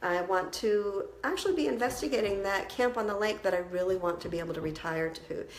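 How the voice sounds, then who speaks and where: A woman's voice: medium pitch, fairly quick. Woman coach w a cold, kinda congested, chatty and encouraging, roomy echo. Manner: conversational, encouraging, with frequent restarts. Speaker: business coach. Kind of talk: instructional talk to camera.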